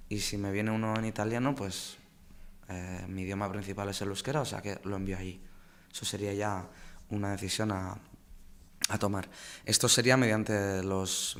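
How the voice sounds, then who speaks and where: A man presenting in a webinar, explaining language settings for websites with many fillers and restarts, over a video call. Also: fairly low pitch. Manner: frequent fillers and self-corrections. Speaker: webinar presenter on web setup. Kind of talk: hesitant technical explanation.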